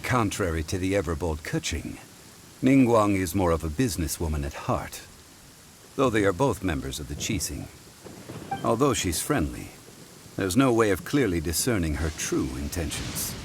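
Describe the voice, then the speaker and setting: A deep, dignified ancient sage. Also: male voice, slight background noise. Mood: measured. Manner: formal, measured, lecturing. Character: ancient, composed scholarly man, dignified and wise. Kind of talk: video game voice acting.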